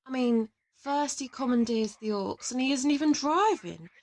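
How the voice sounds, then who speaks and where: A woman's voice: high voice, moderate pace, darkish tone. A woman playing a confident, teasing interrogator from an assassin team in an audio roleplay, affectionate and boastful. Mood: exasperated, gossipy. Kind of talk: audio roleplay addressed to the listener.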